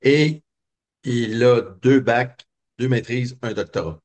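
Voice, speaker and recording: Un homme québécois, invité d'une entrevue, qui raconte son enfance d'un ton réfléchi, avec beaucoup d'hésitations. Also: male voice, mid-range voice, slow pace, darkish tone. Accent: Québécois French. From Quebec. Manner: reflective, many hesitations and fillers. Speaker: guest. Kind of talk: personal storytelling interview.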